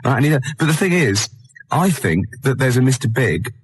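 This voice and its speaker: A British man hosting a radio comedy show, rambling through improvised stories with deadpan repetition. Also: medium pitch, normal speed, slightly rough. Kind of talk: rambling comic chat and improvised storytelling.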